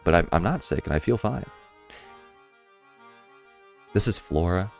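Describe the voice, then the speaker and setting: A man streaming a puzzle game, his voice a bit hoarse, rambling through casual commentary with chuckling asides. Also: deep voice, animated, unhurried, darkish tone, faint music behind.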